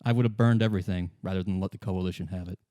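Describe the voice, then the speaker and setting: A man's voice: low voice, fairly even intonation, racing, dark tone, no echo, studio quality, pitched below the performer's own voice, natural voice. A man voicing a grave, philosophical, defiant woman in a tabletop roleplaying game. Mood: grave, musing.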